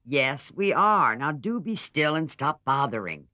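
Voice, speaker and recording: A man voicing an impatient, sharp-tongued teenage girl in a storybook reading. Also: on the high side, sing-song, dry recording, studio quality, pitched above the performer's own voice, heavily acted. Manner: brisk and sharp.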